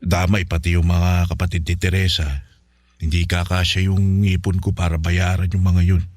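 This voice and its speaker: A man's voice: low-pitched, monotone, normal speed, a little mellow, no room sound, close to an everyday voice. A man playing a dutiful, stubborn middle-aged father in a radio drama, with a Filipino accent. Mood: worried, reluctant.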